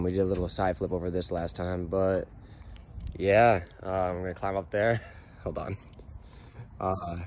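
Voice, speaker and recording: A young man vlogging casually to a handheld camera, relaxed and laughing often, trailing off with fillers. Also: deep voice. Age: young adult. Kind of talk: casual vlog narration. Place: outdoors on an abandoned site and in a house. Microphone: handheld camera at arm's length. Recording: noisy handheld camera audio.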